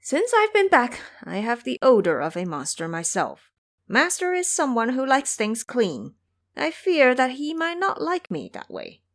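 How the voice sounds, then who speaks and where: A proud, hot-tempered monkey warrior, acted out by a woman for an audio drama. The delivery is boastful and declamatory, with pitch that swings widely from line to line. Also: huge pitch swings, unhurried, full character voice. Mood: earnest. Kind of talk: audio drama character acting.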